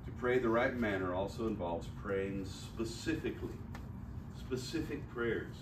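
A man's voice: fairly low pitch, measured pace. A man preaching a sermon, teaching in a folksy, joking way and laughing often. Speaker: pastor. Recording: roomy church hall, distant mic, faint hiss.

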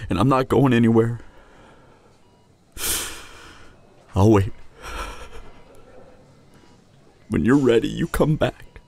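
A man playing a tender, grieving young hunter boyfriend in an intimate, emotional audio drama, halting and husky, often dropping toward a whisper. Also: medium pitch, darkish tone. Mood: tender, pleading. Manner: halting, emotional, long pauses. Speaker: audio roleplay voice actor.

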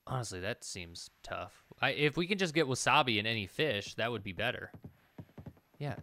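Bright-voiced man rambling over a video game. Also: medium pitch, expressive, moderate pace. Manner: rambling, thinking aloud, mild swearing. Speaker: let's play host. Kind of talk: casual gameplay commentary. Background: game music.

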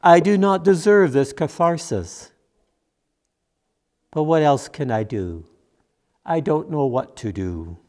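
A man's voice: fairly high pitch, animated, a little mellow. A man in his seventies playing a grieving, guilt-ridden disciple of Jesus in a reflective church monologue. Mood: sorrowful, ashamed. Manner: slow, reflective, questioning monologue. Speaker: minister. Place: church sanctuary, at lectern. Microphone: gooseneck lectern microphone.

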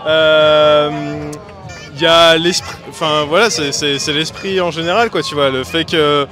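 A man's voice: fairly high pitch, fairly even intonation, a little slow, music in the background. Stammering hesitant young man outdoors. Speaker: carnival participant. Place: outdoor steps at a carnival. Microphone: handheld mic held to him.

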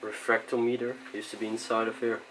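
Young male companion, lowish voice, short remarks, clear background noise. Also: flat delivery, fairly quick. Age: young adult. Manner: brief remarks, loud startled cries of disgust. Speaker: exploring companion. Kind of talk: casual exploring chat.

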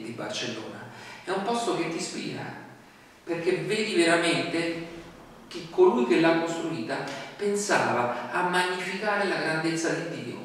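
A man's voice: on the high side, fairly bright tone. A man, conversational, with rhetorical questions and frequent fillers. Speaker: pastor. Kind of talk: sermon. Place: small church hall, indoors. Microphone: distant camera mic. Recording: echoey hall from far off with faint noise.

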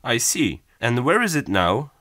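A man giving a clear, careful scripted reading for a language listening exam. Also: mid-range voice, sing-song, a little slow, pushed and bright, no echo, studio quality. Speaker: exam recording reader.

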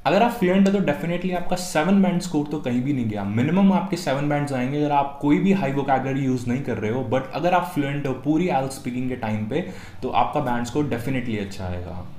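Indian guy in his 20s doing a lesson, mid pitch, roomy sound. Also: fairly quick, a little hiss or hum. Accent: Indian English. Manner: energetic, punches each tip title louder. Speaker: test-prep tutor. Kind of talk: scripted video lesson, Hindi-English mix. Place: small room at a desk. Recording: echoey room.

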